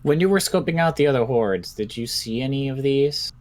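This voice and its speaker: A man playing a measured, curious doctor who studies zombies in an improvised apocalypse game roleplay, talking deliberately. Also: mid-range voice, animated, a little mellow, natural voice. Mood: thoughtful.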